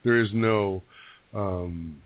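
A man's voice: lowish voice, drawn-out words, no echo. A man working as a psychic medium on a call-in radio show, talking loosely with restarts and little jokes. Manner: rambling, restarts, jokey. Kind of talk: casual radio talk and readings. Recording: dry, phone-like radio audio.

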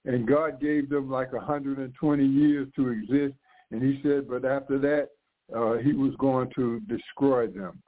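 A man's voice: mid-range voice, fairly even intonation, a little slow. A man in his sixties, a pastor preaching live on radio, congested from the flu, fervent and often repeating and restarting his phrases. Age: 60s. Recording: narrow phone-like radio sound.

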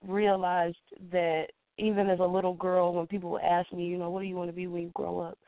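A congested woman recovering from the flu, speaking deliberately and reflectively as an interview guest who is a poet and educator. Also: lowish voice, level tone, no room sound. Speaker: featured guest, spoken word poet and educator. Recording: muffled phone-quality call-in line.

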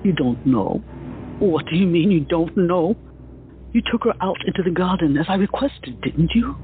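Imperious, threatening lady, a bit high for a man, lo-fi man plays it. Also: animated, normal speed. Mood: accusing. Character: haughty, demanding young woman. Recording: lo-fi, phone-like, with music.

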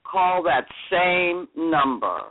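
A woman in her seventies from Connecticut, telling stories as a guest in a radio interview in a chatty, lively way. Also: fairly low pitch, very slow, dry recording. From Connecticut, USA. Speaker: fashion designer guest. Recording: dry, tinny phone-like radio sound.

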